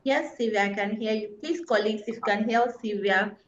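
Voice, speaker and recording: A woman's voice: mid-range voice, brisk. A woman moderating an online session, polite and hesitant, with many ums. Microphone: video call. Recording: dull, roomy video-call audio.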